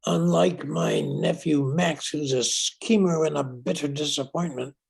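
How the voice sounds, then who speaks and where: An older man playing a wealthy, reclusive uncle in an old-time radio drama, speaking haltingly. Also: on the high side, fairly even intonation, vocal fry, breathy edge, heavily acted. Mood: reserved.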